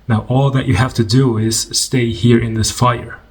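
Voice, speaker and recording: A man leading a guided meditation in an informal, conversational way, unhurried and gently instructing, with pauses. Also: medium pitch, little pitch movement, poor audio.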